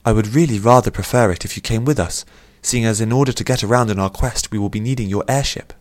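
A man's voice: lowish voice, quick pace, darkish tone, pitched above the performer's own voice, natural voice. A man voicing a young princess in an audiobook, poised and playful, with formal, courtly phrasing. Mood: playful, persuasive. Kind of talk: audiobook character voice.

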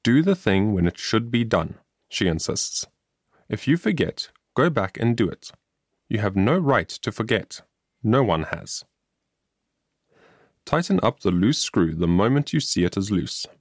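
Low-voiced male audiobook narrator. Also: very animated, a little slow, dry recording, clean studio sound. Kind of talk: scripted story narration, moralizing tale.

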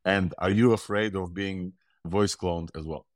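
A low-voiced man with a mixed Russian and Israeli accent hosts a tech talk show. He speaks with eager enthusiasm and rambles through restarts. The recording is close and dry. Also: fairly even intonation, unhurried, no room sound. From Ukraine. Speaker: podcast host. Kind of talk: casual tech news talk.

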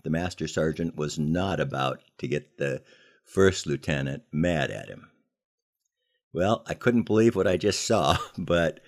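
Deep-voiced older American man telling stories. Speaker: podcast host telling army memories. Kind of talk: conversational storytelling with moral lessons.

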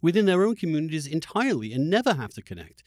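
A man hosting a conversational political discussion, speaking emphatically and haltingly with repeated words. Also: a little high, big pitch swings, darkish tone, dry recording, clean studio sound. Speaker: podcast host and interviewer.

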